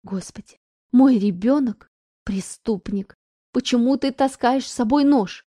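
A woman voicing an anxious middle-aged mother who scolds her daughter in a shrill, rising voice. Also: unhurried, warm tone, no room sound, clean studio sound. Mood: horrified.

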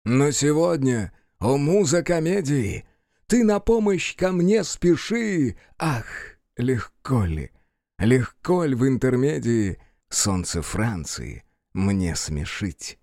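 A man voicing a theatrical actor-playwright, flattering and hot-tempered, who declaims grandly in a stage play reading. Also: medium pitch, slow pace, no room sound, clean studio sound. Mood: declaiming verse. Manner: grandly declaiming, slight stammer at first.

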